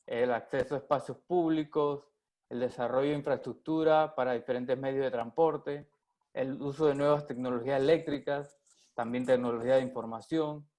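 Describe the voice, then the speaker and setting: A man moderating a webinar over a video call, reading a formal introduction in a measured way. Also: mid-range voice, darkish tone.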